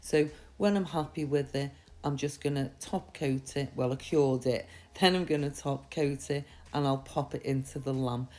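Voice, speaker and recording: A woman with a slightly croaky voice from a mild sore throat, giving a chatty, reassuring, step-by-step tutorial. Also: very deep voice, moderate pace, few pauses, dark tone. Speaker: nail art tutorial host. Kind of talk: casual step-by-step tutorial voice-over.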